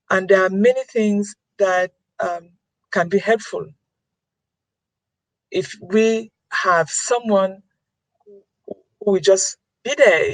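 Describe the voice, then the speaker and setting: A woman's voice: mid-range voice, no room sound, poor audio. A woman giving an earnest webinar talk, repeating key phrases for emphasis over a computer mic. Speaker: webinar presenter.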